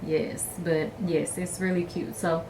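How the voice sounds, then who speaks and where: A woman's voice: on the low side, level tone, unhurried, a little mellow. A young woman, chatting upbeat to viewers and calling them y'all. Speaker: hair vlogger. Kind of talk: casual vlog chat. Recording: distant mic with light room noise.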